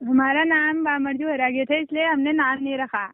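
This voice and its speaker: Woman on a phone call, high voice, sly and dismissive, phone-line sound. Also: steady tone, normal speed, slight background noise. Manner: evasive, teasing, dismissive. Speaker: prank call receiver.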